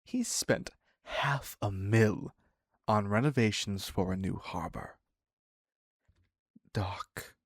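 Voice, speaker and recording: A man playing an obsessive, clingy criminal captor in an intimate audio roleplay, husky and halting, slipping into whispers. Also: lowish voice, no room sound, studio quality, exaggerated voice. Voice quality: husky, breathy, gravelly. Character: obsessive male yandere captor, ex-asylum criminal, clingy and needy.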